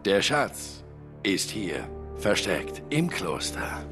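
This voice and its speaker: An actor playing a gruff, wry old treasure hunter who likes telling boastful stories, in a game dub. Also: male voice, low voice, sing-song, takes it slowly, over music, full character voice. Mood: sly, confiding. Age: older. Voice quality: gravelly. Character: gruff, wry old male treasure-hunting rogue, big talker. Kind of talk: German game dub acting.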